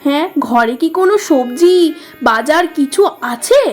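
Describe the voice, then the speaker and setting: A woman acts a nagging village wife in a comic cartoon. Her voice is high and curt as she scolds, with faint music underneath. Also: animated, a little slow. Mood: scolding.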